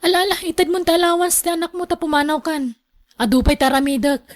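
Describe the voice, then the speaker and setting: A woman's voice: very high-pitched, fast, no echo, studio quality. A woman acting a headstrong, carefree young single mother in an Ilocano radio drama, defiant and dismissive. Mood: firm, curt.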